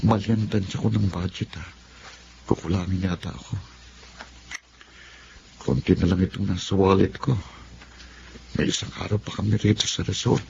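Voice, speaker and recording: A man's voice: deep voice, fairly even intonation, normal speed, vocal fry, long pauses, darkish tone. An actor playing an evasive young Filipino man in a naturalistic TV drama, with a hesitant, stammering way of talking. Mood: worried muttering. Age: young adult. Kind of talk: naturalistic television drama acting. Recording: dull audio with faint background noise.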